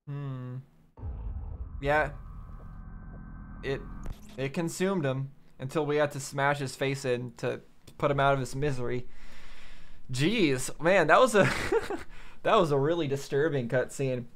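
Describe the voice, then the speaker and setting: A man giving casual reaction commentary, thinking aloud in a halting way and repeating phrases. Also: mid-range voice, fairly bright tone, a little hiss or hum. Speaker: reaction video host.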